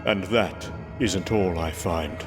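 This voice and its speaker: An actor playing an earnest, grave warrior prince and god of thunder, speaking formally and solemnly in an audio comic drama. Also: male voice, low-pitched, takes it slowly. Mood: grim, solemn. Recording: music bed under the voice. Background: music.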